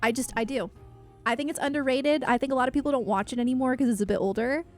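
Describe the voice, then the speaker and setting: Female streamer, fairly high voice, effusive and self-deprecating, rambling casually to chat over music. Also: quick pace. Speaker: livestreamer. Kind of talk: casual chatty livestream, reading and answering chat.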